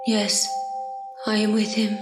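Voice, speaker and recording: An actress in an audio drama playing an entranced woman, speaking in a hushed, trance-like way. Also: medium pitch, monotone, over music, lightly acted. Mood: entranced, eerie. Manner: slow, hushed, trance-like delivery.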